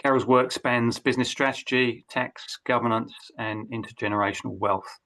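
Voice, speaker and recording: A man's voice: mid-range voice, fairly even intonation, moderate pace, pushed and bright. A man hosting a business webinar on a video call, speaking with many hesitations and restarts. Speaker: webinar host.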